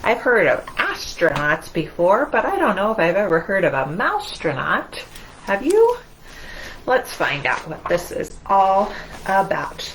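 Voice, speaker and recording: A woman's voice: mid-range voice, wildly swooping pitch, measured pace, a bit bright, slight background noise, poor audio. A woman children's librarian leading a storytime, speaking to young children in an encouraging, singsong way. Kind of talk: children's storytime with movement and read-aloud.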